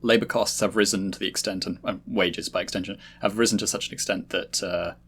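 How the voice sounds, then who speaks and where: A man's voice: sits low, steady tone, fairly quick, a bit bright, a little hiss or hum. A man explaining his points analytically in a casual podcast discussion. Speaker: podcast panelist.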